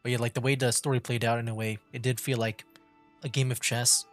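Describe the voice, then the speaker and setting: Game streamer: medium pitch, informal, loosely phrased commentary over faint game music. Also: level tone, a little fast. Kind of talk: casual livestream commentary, reading game dialogue aloud. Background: video game music.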